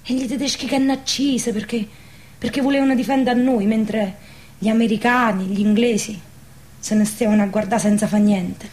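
A woman's voice: a little high, steady tone, moderate pace, a little mellow, close to an everyday voice. An actress playing a traumatised young village woman in a radio drama, ashamed and bitter, plaintive, with a southern Lazio dialect. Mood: grim, bitter. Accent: southern Lazio Italian dialect.

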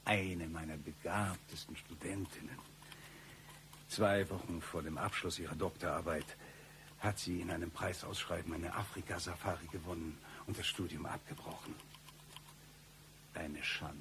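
An actor playing a boastful, menacing mercenary and former lecturer in a satirical radio play, with a pompous, theatrical delivery. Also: male voice, deep voice, steady tone, moderate pace, background noise. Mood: wistful, grandiose.

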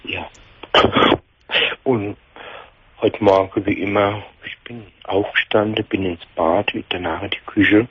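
A man in his forties with a Palatinate German accent, shaken and in shock. His voice is very low and husky, and he breaks off often and starts his sentences again. The sound is dull and lo-fi, like a caller on the line. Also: fairly even intonation, no room sound. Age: 40s. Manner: halting, dazed, hesitant with many restarts. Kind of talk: late-night radio call-in. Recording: dull lo-fi phone-in audio.

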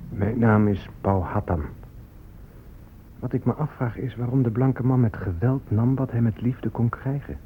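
An actor playing a grave leader recounting conquest in deliberate radio drama monologue. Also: male voice, mid-range voice, a little hiss or hum, close to an everyday voice. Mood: puzzled, reproachful. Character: grave man recounting conquest, warrior or chief. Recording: tinny, phone-like old radio recording.

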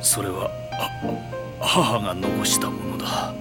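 An actor playing a stern, middle-aged swordsmith father in an anime drama, gruff and emotional. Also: on the low side, fairly even intonation, takes it slowly, loud music behind the voice. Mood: dying, strained. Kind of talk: anime voice acting.

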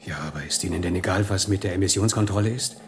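An actor playing a corruptible official in a radio drama, uneasy and defensive. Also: male voice, low-pitched, fast, a little hiss or hum, close to an everyday voice. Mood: resigned, uneasy.

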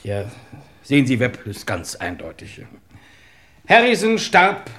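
A brisk chief inspector with very varied intonation. He is official and emphatic in 1950s radio style, recorded in an echoing room. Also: mid-range voice, wildly swooping pitch, fairly bright tone. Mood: official, reproving. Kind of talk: 1950s German radio play acting.

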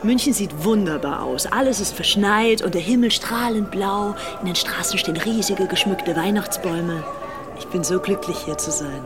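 A woman's voice: mid-range voice, moderate pace, music in the background, natural voice. A woman playing a fanatical, haughty young upper-class English fascist in a dramatized reading of letters. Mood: elated.

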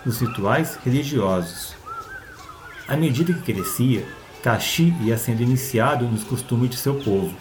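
A male storyteller with a Brazilian accent and a mid-pitched voice. He delivers scripted narration for an audio tale. Clear ambient noise plays behind him. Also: lively intonation, measured pace.

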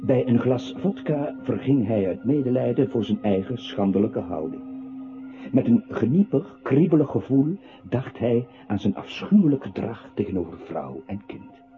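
An actor playing a brooding narrator of a troubled composer's story in a literary radio play. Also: male voice, mid-range voice, sing-song, moderate pace, soft background music, lightly acted. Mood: bitter. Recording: muffled old radio recording.

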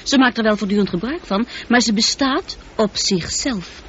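A woman playing a calm, thoughtful female ship's computer with a precise, measured delivery and a touch of loneliness, in a radio drama. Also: fairly high pitch, close to an everyday voice. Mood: measured, wistful. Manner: precise, factual, composed. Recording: dull, noisy old radio drama recording.

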